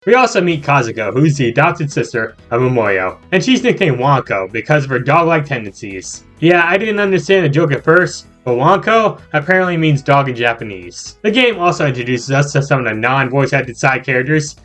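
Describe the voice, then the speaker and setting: A man's voice: medium pitch, very animated, fairly quick, few pauses, fairly bright tone. A man narrating a scripted video game review as host, with jokey asides. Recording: music under the voice. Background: background music.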